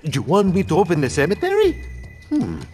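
A man voicing an anxious, effusive butler in a cartoon video game, with a Spanish accent and an excitable, melodramatic manner. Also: sits high, fairly quick, soft background music. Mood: relieved, pleading. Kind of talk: animated video game character acting.

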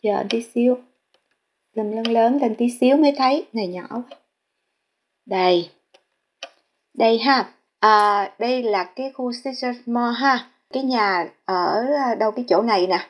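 A woman's voice: a little high, measured pace, pauses often. A Vietnamese woman vlogging casually, speaking politely to viewers with many fillers as she tours a house listing and shows a map. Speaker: real estate vlogger. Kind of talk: casual narrated house tour.

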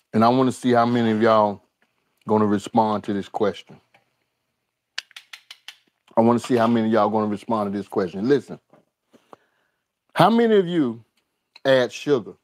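A man in his home kitchen hosts a live cooking stream, talking at an unhurried, drawn-out pace. He speaks to the viewers as y'all and says his lines again and again. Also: medium pitch, dry recording, studio quality. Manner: drawling, talks to viewers as y'all, repeats lines. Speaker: cooking show host. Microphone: overhead camera on the skillet, speaker unseen.

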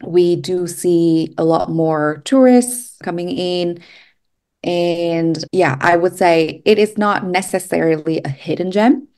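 Lower-pitched woman. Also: slow, darkish tone. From Vietnam. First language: Vietnamese. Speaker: podcast guest, travel company manager. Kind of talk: conversational interview answers.

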